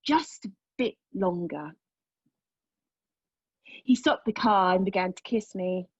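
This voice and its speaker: An actress playing a haunted young woman in a dramatic stage monologue, recounting a painful memory in a halting, intense way. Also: medium pitch, lively intonation, darkish tone, no room sound, highs cut off. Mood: wistful, intimate. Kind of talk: dramatic monologue read at a table read of a play.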